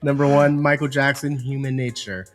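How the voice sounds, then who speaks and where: A man's voice: medium pitch, little pitch movement, normal speed, music in the background. A man chatting informally and slangily as a podcast host. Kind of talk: casual group chat, reading music charts.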